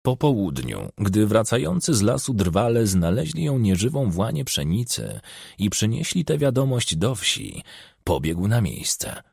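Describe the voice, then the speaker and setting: A man narrates a crime audiobook in a low, husky voice. His delivery is expressive, rising and falling widely in pitch, and the sound is dry and close. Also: a little slow, dry recording. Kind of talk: scripted crime novel narration.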